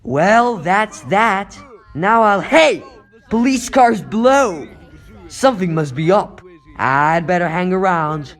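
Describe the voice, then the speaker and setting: Guy doing a young superhero for a comic dub, high voice swooping all over, wisecracky and sorry for himself. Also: big pitch swings, takes it slowly, fairly bright tone, heavily acted. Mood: brooding, determined. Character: young male superhero, self-pitying, wisecracking, easily flustered. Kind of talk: animated superhero cartoon-style dub.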